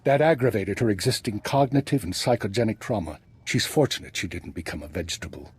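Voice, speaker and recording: An actor playing an older, retired spymaster in a video game, composed and dry, with measured formal phrasing. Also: male voice, lowish voice, expressive, husky. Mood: grave.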